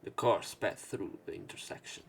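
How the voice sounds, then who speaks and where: A man's voice: normal speed, very noisy. A man hosting a let's play, reading a visual novel's narration and dialogue aloud.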